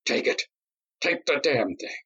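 A man's voice: a little high, animated, a little slow, pushed and bright, breathy edge, muffled recording, voice pushed up, heavily acted. A man doing a frantic, hungover army captain. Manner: agitated, bitter, cracking outbursts. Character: drunk, shaky army captain, man, desperate.

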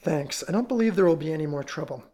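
A man's voice: fairly high pitch, expressive, fast, mellow. A man playing a composed, clever amateur detective in an audiobook, speaking in an assured, incisive manner.

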